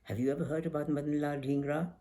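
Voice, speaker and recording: A woman's voice: deep voice, fairly even intonation, quick pace, mellow, lightly acted. An older woman voicing a matter-of-fact older sister at school in a memoir reading, casual and knowing.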